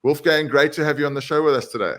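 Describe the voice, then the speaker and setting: A man hosting an interview podcast, talking in a relaxed, conversational way with frequent "uh" and "you know" fillers. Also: a little high, quick pace. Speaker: podcast host.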